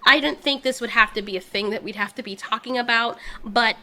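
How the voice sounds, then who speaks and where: High, bright-voiced woman delivering firm commentary. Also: brisk. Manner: firm, lecturing, indignant. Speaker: commentary channel host. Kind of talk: opinion commentary monologue.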